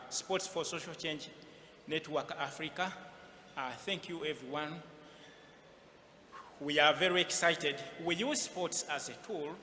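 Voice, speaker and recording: Bright, forceful man in an echoing hall. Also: fairly high pitch. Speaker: award winner giving acceptance speech. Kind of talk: earnest acceptance speech. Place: large event hall, on stage. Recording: echoey hall, noticeable background noise.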